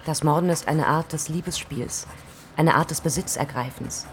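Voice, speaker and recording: A low-pitched woman novelist played by an actress shares her diary in a radio play. She is measured and intimate, with obsessive longing and cool menace, over faint background noise. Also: natural voice. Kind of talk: radio drama diary monologue.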